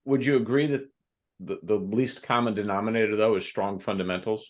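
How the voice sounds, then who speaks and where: A man on a podcast as a guest author and firearms instructor, telling stories from his classes in a thoughtful, measured way. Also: on the low side, fairly even intonation.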